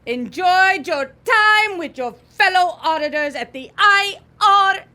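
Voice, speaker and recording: A woman's voice: very high-pitched, animated, bright, forceful tone, heavily acted. A woman playing an exasperated, haughty middle-manager demon who berates people in an audio drama. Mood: gloating, stern. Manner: haughty, berating, drawn-out emphasis.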